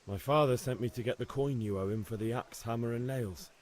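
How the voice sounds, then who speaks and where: An actor playing a confident, cheeky young blacksmith's son in a video game. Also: male voice, medium pitch, a little fast, natural voice. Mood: firm. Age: young adult.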